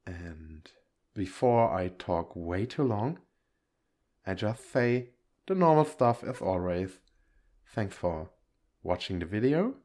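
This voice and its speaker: A man with a fairly low voice talks casually to his viewers as an audio creator. He rambles in a giggly way and often corrects himself. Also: sing-song, slow pace, long pauses, dark tone. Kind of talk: casual outro addressed to viewers.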